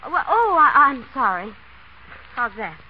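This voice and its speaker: An actress playing a spoiled, candid, headstrong young runaway heiress in a 1930s radio drama. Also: female voice, high voice, very animated, unhurried, a little hiss or hum. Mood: drowsy, curious. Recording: muffled, dull 1930s radio broadcast.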